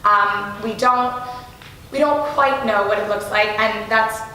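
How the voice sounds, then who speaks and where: A woman with a slightly hoarse, bright voice gives a live talk to an audience. She is keen and enthusiastic, often restarting her phrases. The sound is very echoey with a faint hum behind. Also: mid-range voice, measured pace. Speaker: guest speaker from a foundation. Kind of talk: live speech at an event. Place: large room, audience present. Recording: very echoey room, faint noise.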